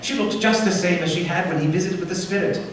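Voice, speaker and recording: Male narrator, fairly high voice, expressive storytelling, radio play, distant in a reverberant hall. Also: fast. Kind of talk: theatrical scripted storybook narration. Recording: very echoey hall, distant mic, faint noise.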